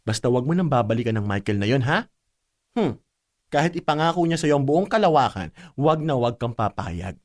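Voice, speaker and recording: A woman's voice: very deep voice, animated, a little fast, a little mellow. A woman playing a fiercely protective older sister in a radio drama, scolding and blunt but loving, with emphatic delivery. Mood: firm, caring. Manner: scolding, emphatic, with frequent tag questions. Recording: music under the voice.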